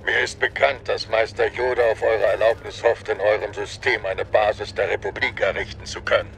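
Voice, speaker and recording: Knarrenden, herablassenden alten Schurkengrafen. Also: male voice, lowish voice, little pitch movement, a little fast, vocal fry, a bit bright, slight background noise. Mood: smooth, condescending. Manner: formal, condescending, persuasive. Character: suave, menacing elderly aristocratic villain count.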